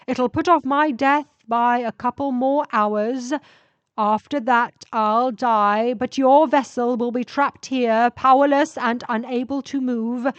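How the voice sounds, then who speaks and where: A woman voicing a needy, menacing male sentient spaceship in an audio story, speaking in slow, emphatic, drawn-out phrases. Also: a little high, fairly even intonation, clear, resonant tone, no room sound, highs cut off, pitched above the performer's own voice. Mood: threatening, demanding.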